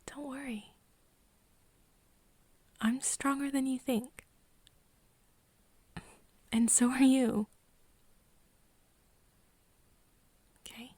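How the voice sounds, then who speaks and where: Soft, breathy woman acting a caring young friend. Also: high voice, steady tone, no room sound. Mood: gentle, reassuring. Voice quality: soft, breathy, often dropping into whispers. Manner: hesitant, halting, gently coaxing. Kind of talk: ASMR roleplay, intimate second-person acting.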